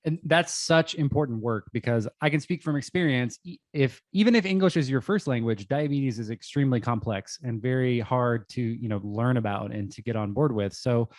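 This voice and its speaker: A man hosts an interview in a friendly, measured way. His voice sits at an ordinary middle pitch, and the recording is dry, with no room sound. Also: a little mellow, no echo. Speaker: host. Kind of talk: interview podcast.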